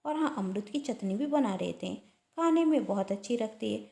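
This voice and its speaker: A sly elder sister-in-law from a domestic moral story, voiced by a woman narrator. She talks with false care and pious self-righteousness, in a slightly high, creaky voice in a roomy space. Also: expressive, moderate pace, dark tone. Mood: falsely caring. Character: sly, sweet-talking elder sister-in-law in an Indian household. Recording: roomy echo.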